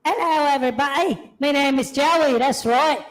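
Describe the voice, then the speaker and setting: A man's voice: extremely high pitch, moderate pace, a crowd in the background, pitched above the performer's own voice. A man voicing a chirpy female kangaroo puppet with a put-on Australian accent, chatting with lots of hesitant fillers. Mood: cheery. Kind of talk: livestream puppet bit.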